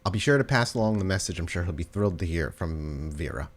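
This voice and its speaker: A man playing an evasive doorman in a tabletop roleplay, stonewalling with measured, sardonic politeness. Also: low voice, lively intonation, voice pushed down. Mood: dry, dismissive.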